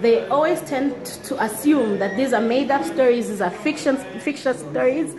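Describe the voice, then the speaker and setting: A woman from Zambia with a Zambian accent, a blogger and activist telling a personal story in an animated, amused way. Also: medium pitch. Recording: echoey room.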